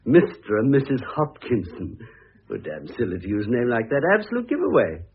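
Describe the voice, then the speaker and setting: A middle-aged man with a British accent acting in a classic radio drama as a peevish, gossipy gentleman admirer, chatty and blustering in a theatrical way. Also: medium pitch, wildly swooping pitch, a little fast, slight background noise. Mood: amused, conspiratorial. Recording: muffled, tinny old radio recording.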